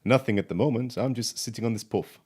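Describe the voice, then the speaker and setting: An actor playing a roguish, cheeky elf thief in an audio drama, sardonic and theatrical in manner. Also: male voice, mid-range voice, fast talker, darkish tone, breathy edge. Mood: resigned.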